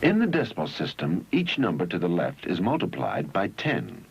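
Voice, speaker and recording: A man reads scripted narration for an educational film in measured, instructional phrases, on an old 1971 film soundtrack. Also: low-pitched, wildly swooping pitch, lo-fi sound, slight background noise.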